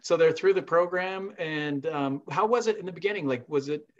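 A man hosts a video-call interview and feels his way through long, rambling questions. His voice sits a little high for a man. The audio is dull and lo-fi, the way a call sounds. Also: normal speed. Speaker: host of the parent session.

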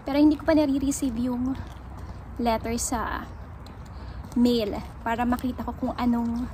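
A woman's voice: a little high, darkish tone, slight background noise, low-quality recording. A woman in her thirties from the Philippines, chatting casually to a phone held at arm's length, with English mixed in and plenty of "um"s. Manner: chatty, mixes English into Tagalog, frequent "so" and "um". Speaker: vlogger. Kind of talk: casual vlog narration. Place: outdoors in a snowy yard, then a car back seat, then a big store.

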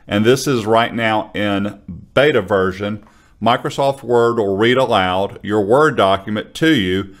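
Male tutorial host, 50s, lowish voice, conversational, close studio mic. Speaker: software trainer. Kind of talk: casual tutorial to camera. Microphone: large studio condenser microphone on an arm, close.

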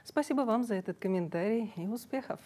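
A middle-aged woman hosting a TV studio interview, asking questions in a crisp, formal manner. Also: medium pitch, quick pace, dark tone. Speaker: TV news host.

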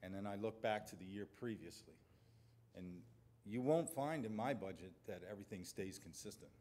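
Male department manager, fairly low pitch, factual and plain meeting presentation. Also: normal speed, darkish tone. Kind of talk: explanation at a public meeting. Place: council chamber, standing at lectern.

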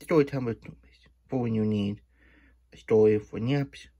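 A man acts out a cheerful little plush hedgehog hosting a children's story time. The voice is husky and sits close in a dry room. It chats in muddled, third-person baby talk between bits of storybook. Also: fairly low pitch, moderate pace, mellow, no room sound, dull audio. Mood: cheerful, chatty. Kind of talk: children's storybook reading with chatty asides. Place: small indoor room at a desk.

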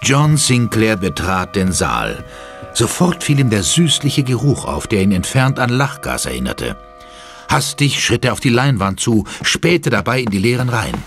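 Animated male horror narrator over music. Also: on the low side, moderate pace. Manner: suspenseful, theatrical. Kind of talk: dramatic scripted narration of a horror audio drama.

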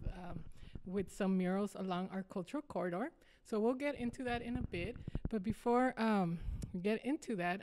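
A woman's voice: medium pitch, a little mellow, slight background noise. A woman from southern California hosting an informal panel discussion, speaking into a handheld microphone. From San Ysidro, southern California. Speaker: panel moderator, community arts organization officer.

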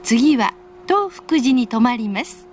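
A woman with a high voice reads a recorded in-car announcement. She is polite and formal, enunciating carefully at an unhurried pace. Faint train noise runs behind her. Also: lively intonation. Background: train car ambience.

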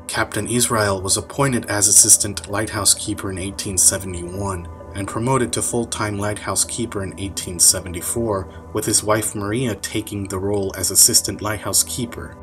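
Low-pitched male narrator over music. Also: fairly even intonation, moderate pace, few pauses. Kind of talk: scripted documentary narration.

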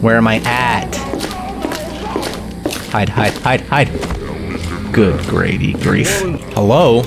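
A man's voice: on the low side, lively intonation, takes it slowly, vocal fry, pauses often. A young man playing a horror game and reacting with jokey, exclamatory commentary into a headset mic. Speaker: gaming streamer. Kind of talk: let's play commentary. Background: game music.

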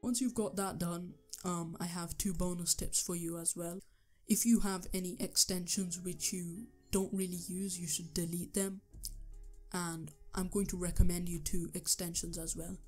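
A young man with a sore, hoarse throat, talking through a computer tutorial step by step, with the odd um. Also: high voice, little pitch movement, normal speed, darkish tone, faint music behind. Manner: earnest step-by-step instructions with ums. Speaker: tech tutorial youtuber. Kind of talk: screen-recorded tutorial voice-over.

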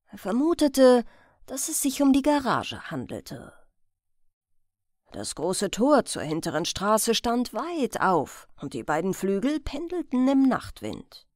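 A woman reading a crime audiobook as narrator in an expressive, deliberate storytelling manner. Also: mid-range voice, no echo, clean studio sound.

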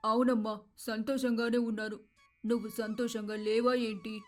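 A woman's voice: a little high, level tone, normal speed, faint music behind, lightly acted. A woman voicing a rich, doting middle-aged mother, soothing and insistent, in a moral story. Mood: concerned, reassuring. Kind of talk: dramatized moral story voice acting.

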